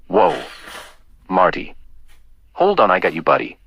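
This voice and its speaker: A man playing a laid-back, heroic milkman with a raspy voice and a laconic, casual way of talking in an audio play. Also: low voice, huge pitch swings, unhurried, highs cut off, a little hiss or hum, full character voice. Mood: reassuring, cool.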